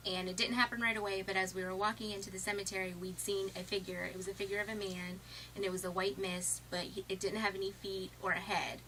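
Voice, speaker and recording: A woman in her twenties from Ohio, telling a story shyly but quickly in an audition video. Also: medium pitch, bright, forceful tone, slight background noise, low-quality recording. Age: 20s. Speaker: casting applicant.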